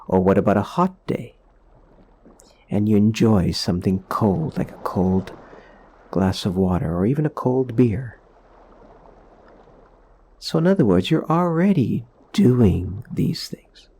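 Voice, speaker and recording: A man leading a guided meditation in a soothing, gentle, explanatory way, leaving pauses between phrases. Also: fairly low pitch, husky.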